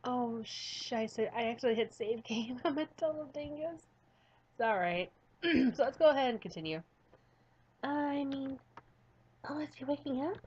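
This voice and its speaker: A woman's voice: sits high, lively intonation, a little slow, pauses often, darkish tone, breathy edge, highs cut off. A woman YouTuber reading a visual novel aloud with casual, playful, giggly commentary. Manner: playful, giggly, self-mocking.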